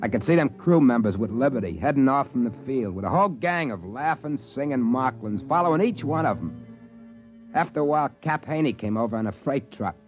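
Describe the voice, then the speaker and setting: A man's voice: medium pitch, animated, a little fast, close to an everyday voice. A man playing a folksy, plain-spoken sidekick who tells the tale in a 1950s radio drama. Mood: wry narrating. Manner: plain-spoken, ungrammatical colloquial. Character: folksy, easygoing trading-post sidekick narrating his tale. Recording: dull 1950s radio recording, music behind.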